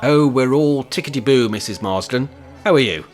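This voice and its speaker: A man's voice: medium pitch, moderate pace, soft background music, lightly acted. A man playing a wry, scheming older care home resident with an English accent and jokey, mock-grand phrasing in an amateur radio play. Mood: feigned innocence.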